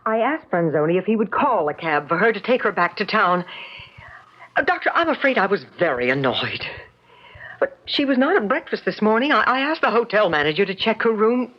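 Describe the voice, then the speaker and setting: An actress playing a polite, hesitant woman in an old-time radio mystery, with a faltering, expressive delivery. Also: medium pitch, brisk. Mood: apologetic. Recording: muffled, hissy old radio recording.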